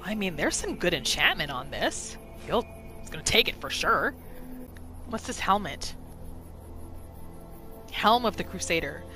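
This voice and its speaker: A woman's voice: lowish voice, sing-song, unhurried, long pauses, sharp tone, faint music behind. A woman hosting a casual game roleplay playthrough, narrating her character's thoughts in the third person with little asides. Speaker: gaming channel host.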